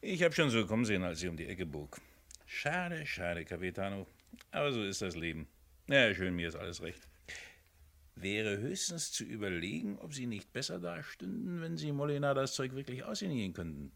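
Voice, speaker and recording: An actor playing a captain's easygoing, resigned sidekick with a folksy, wry manner in a 1970s German radio crime drama. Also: male voice, on the low side, sing-song, normal speed, creaky, a bit bright, exaggerated voice.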